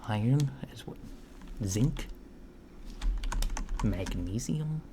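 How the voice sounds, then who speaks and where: Softspoken, slow, curious alien interviewer, acted by a man. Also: medium pitch, expressive. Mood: curious, musing. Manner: hesitant, politely puzzled, clinical questioning. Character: curious, mild-mannered alien interviewer taking notes on humans. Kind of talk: softspoken ASMR roleplay. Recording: clear background noise, keyboard typing. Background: computer keyboard typing.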